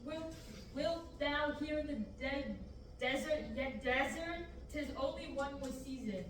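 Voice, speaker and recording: A young woman reading the part of a stern middle-aged farmer uncle in Shakespearean verse at a student table reading, steady and measured. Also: high-pitched. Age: young adult. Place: large classroom, indoors. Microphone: far camera. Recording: echoey room, from far off, background hiss.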